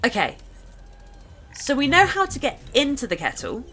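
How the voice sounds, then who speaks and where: A woman's voice: high-pitched, huge pitch swings, normal speed, noisy background. A woman narrating a video game walkthrough in a casual, chatty, conversational way. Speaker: gameplay narrator.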